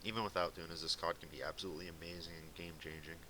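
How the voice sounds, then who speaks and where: A young man with a light Boston accent talks in a low voice with a bright, forceful edge. He rambles in a laid-back way with fillers, as if giving casual commentary on his game at home. A faint hiss sits under the recording. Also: fairly even intonation, rapid-fire. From Massachusetts. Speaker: gaming video commentator. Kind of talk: casual commentary over gameplay.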